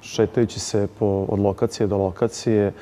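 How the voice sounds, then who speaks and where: A man in his thirties speaks in a fairly low, flat voice with a dark, relaxed tone. He gives interview answers in short, halting phrases. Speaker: festival director. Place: indoor festival hall. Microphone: lapel.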